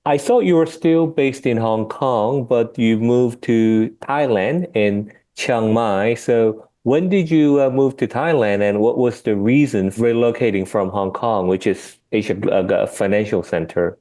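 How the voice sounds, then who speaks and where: A man's voice: mid-range voice, unhurried, hardly any pauses, warm tone. A man hosting an interview, asking polite, encouraging questions with a few fillers along the way. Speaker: interview host.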